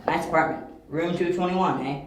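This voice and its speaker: A man's voice: on the high side, moderate pace, recorded from a distance, lightly acted. A man acting a resourceful, wry young detective in an amateur audio drama, speaking tersely. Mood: wry, casual. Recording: echoey room, off-mic, faint noise.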